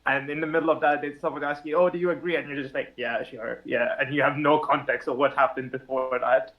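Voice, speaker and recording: A young man from India, a podcast guest, talking in a relaxed, thoughtful way with frequent fillers and restarts. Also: mid-range voice, fast talker, highs cut off. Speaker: podcast guest, app founder. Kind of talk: casual podcast chat.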